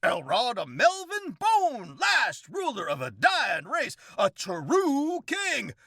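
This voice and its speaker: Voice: mid-range voice, huge pitch swings, slow, creaky, sharp tone, slightly rough, dry recording, studio quality, pitched above the performer's own voice, full character voice. A performer voicing a pompous self-styled king with a Southern US accent, folksy and blustering, laughing at his own jokes.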